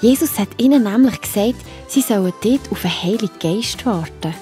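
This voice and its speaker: A woman's voice: mid-range voice, expressive, normal speed, over music. A woman with a Swiss German accent narrating a children's Bible story in a scripted storytelling style.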